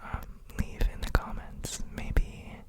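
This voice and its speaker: Female ASMR guide: all whisper, extremely slow and unhurried, breathy and soothing, for sleep relaxation. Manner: gentle, soothing, unhurried with frequent pauses. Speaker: ASMR host guiding relaxation.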